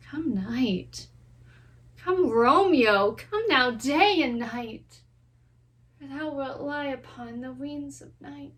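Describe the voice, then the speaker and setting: A high-voiced, lovestruck young noblewoman acted by a woman speaks classical verse at an unhurried, lingering pace. She is impatient and full of passionate yearning, in a theatrical stage style. The microphone sits at a distance from her. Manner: dramatic, lingering, swelling from hushed to fervent. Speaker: drama student performer.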